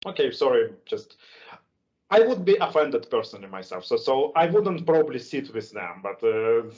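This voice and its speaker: A man with a strong Russian accent, speaking English as a second language and hosting an online speaking club, halting with many restarts and fillers. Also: mid-range voice, big pitch swings, darkish tone. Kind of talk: casual online English lesson chat. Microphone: video call. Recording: lo-fi video call audio.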